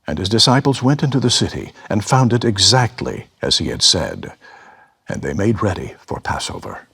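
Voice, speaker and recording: A man with a husky voice giving a solemn, expressive dramatic reading of scripture as a voice actor. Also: on the low side, moderate pace.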